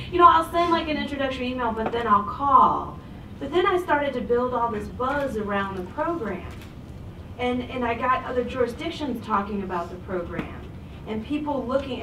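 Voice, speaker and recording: Animated woman panelist, echoey room. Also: mid-range voice. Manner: energetic, animated storytelling with tips. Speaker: panelist, government program leader. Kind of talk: panel discussion answer. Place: conference room. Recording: echoey room, far from mic, background noise.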